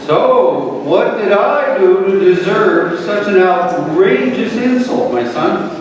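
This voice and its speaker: A man's voice: high-pitched, animated, takes it slowly. A man playing a wounded, loving old father in a dramatic reading, pleading and theatrical. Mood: hurt. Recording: echoey hall, distant mic.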